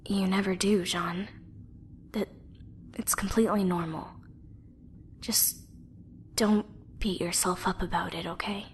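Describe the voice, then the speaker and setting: A woman playing a solemn, tender young soldier in a fan anime drama, speaking softly and breathily, often near a whisper. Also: mid-range voice, steady tone, natural voice. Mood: gently firm. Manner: measured, soft-spoken. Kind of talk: fan dub anime parody acting. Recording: faint background noise.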